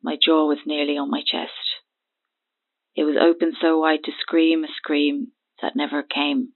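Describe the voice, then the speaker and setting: Husky, haunted woman on the phone. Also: low voice, little pitch movement, a little slow, heavily acted. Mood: haunted, tense. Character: frightened, paranoid young woman recounting a horror. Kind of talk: audio horror drama monologue. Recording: thin, phone-like band-limited sound.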